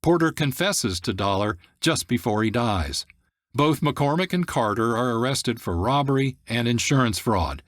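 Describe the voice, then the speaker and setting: A male radio host reads scripted narration in a measured, plain way. His voice sits in a middle range, recorded close and dry with no room sound. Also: medium pitch, lively intonation, a bit bright, no room sound. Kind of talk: scripted narration, story recap.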